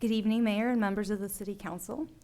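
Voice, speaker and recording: A woman, a city deputy director, reading a staff report to the council in a formal, measured way. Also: medium pitch, steady tone, dark tone. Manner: formal, measured, reads from a script.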